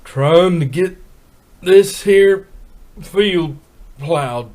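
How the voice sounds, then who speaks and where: Man doing a low, laconic farm stallion. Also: extremely slow, darkish tone. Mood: straining. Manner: laconic, folksy. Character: big, stoic male farm pony, straining and plain-spoken.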